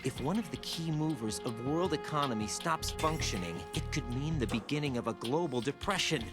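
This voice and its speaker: A man's voice: medium pitch, animated, a little fast, music in the background, close to an everyday voice. An actor playing an earnest young male soldier who keeps asking questions, in a video game. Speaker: soldier on a mission. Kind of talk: video game radio call acting.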